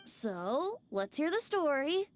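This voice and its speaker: A woman's voice: high voice, big pitch swings, slow pace, full character voice. A woman voicing a playful, teasing young male bard in an anime-style video game, with a light boyish voice and wry, theatrical delivery.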